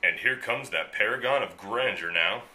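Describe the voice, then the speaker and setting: A man's voice: lowish voice, moderate pace, bright, forceful tone. A man playing a grandiose narrator and announcer in a parody of old western radio serials. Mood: dramatic.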